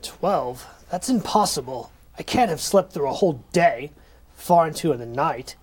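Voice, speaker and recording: A man's voice: on the high side, lively intonation, measured pace, husky. A man playing a puzzled, grumbling miser who mutters to himself in an amateur comic audio play. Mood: puzzled disbelief. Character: puzzled grumbling male miser roused from sleep.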